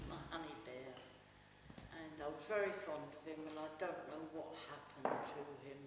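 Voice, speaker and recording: A woman's voice: deep voice, unhurried, long pauses. A woman, casually reminiscing in a rambling, hesitant way. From Devon, England. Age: 70s. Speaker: reminiscence group participant. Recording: echoey hall, distant mic, noisy.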